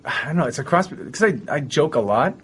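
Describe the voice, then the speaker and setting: A man's voice: on the high side, lively intonation, a little fast, a little hiss or hum. A man co-hosting a casual podcast about teaching, wisecracking and self-mocking. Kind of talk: casual chat.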